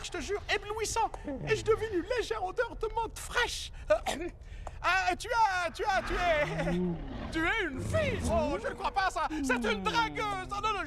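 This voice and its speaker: Jabbering high donkey. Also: male voice, heavy background noise. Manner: nonstop nervous babbling, flattering. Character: chatty wisecracking male donkey sidekick. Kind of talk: animated film dub. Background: film score music.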